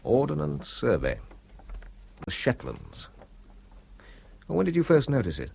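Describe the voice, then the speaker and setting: A man's voice: medium pitch, wildly swooping pitch, takes it slowly, gravelly, darkish tone, slight background noise, exaggerated voice. An actor playing an earnest, polite man searching for his missing sister in a radio play. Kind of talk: 1970s radio drama acting.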